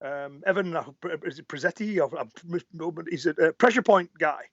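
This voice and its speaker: An earnest man in an interview, his voice pitched a little high. He restarts and repeats words as he works through his thoughts. The sound is dry and slightly muffled. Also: brisk, a bit bright, dry recording. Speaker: guest, karate instructor. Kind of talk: casual interview answers. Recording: muffled lo-fi audio.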